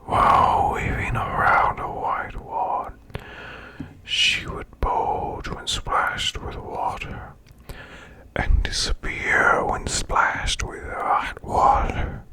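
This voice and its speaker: A man voicing a demon boss who reads eerie folklore as bedtime ASMR in a slow, hushed, growling rasp with long pauses. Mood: hushed, ominous. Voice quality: growling, gravelly, breathy rasp.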